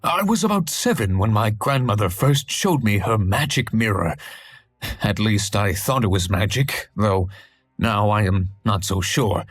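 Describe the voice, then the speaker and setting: An anxious young newlywed. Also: male voice, fairly low pitch, lively intonation, unhurried. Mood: reflective. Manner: worried, pleading, slightly husky. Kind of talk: hidden-object game cutscene.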